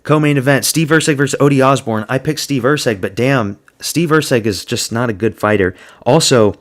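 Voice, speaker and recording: A man's voice: medium pitch, brisk. A man giving a blunt, crude, slang-heavy solo review of fight results. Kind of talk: casual solo commentary.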